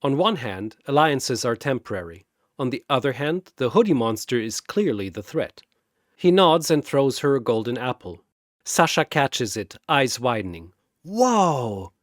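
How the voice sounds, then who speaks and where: A man narrating a scripted story in a measured, dramatic way. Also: mid-range voice, expressive, no room sound, clean studio sound.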